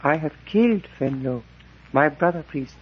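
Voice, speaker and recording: Wounded Cambodian priest, high husky voice, solemn, formal, fatalistic, muffled old radio drama. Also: male voice, expressive. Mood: solemn, grieving. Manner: slow, formal, stilted speech. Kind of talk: 1940s radio drama acting. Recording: muffled vintage 1940s radio recording.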